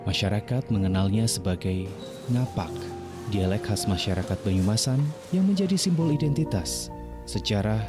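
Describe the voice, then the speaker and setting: A man's voice: fairly low pitch, expressive, moderate pace. Man narrating a radio documentary. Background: music.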